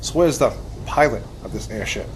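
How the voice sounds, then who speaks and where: A man's voice: mid-range voice, lively intonation, fairly quick, a little hiss or hum, natural voice, low-quality recording. An actor playing a courteous, bewildered nineteenth-century man with hesitant, old-fashioned formality in an audio drama. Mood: curious, sheepish. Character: polite, bewildered nineteenth-century American man, naive newcomer.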